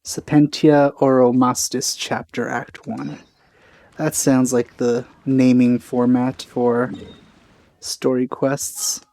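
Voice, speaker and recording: A man giving casual, low-key and wry commentary while playing a video game. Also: level tone, slow, warm tone, no echo. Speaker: let's play commentator.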